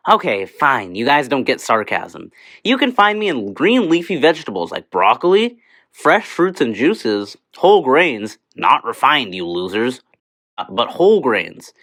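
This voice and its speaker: An actor plays a cocky male vitamin character in an educational skit. He is sarcastic and self-satisfied, with a casual delivery. His pitch leaps around a lot, and the sound is dry, with no room to it. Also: big pitch swings, a little slow, a bit bright, dry recording, heavily acted.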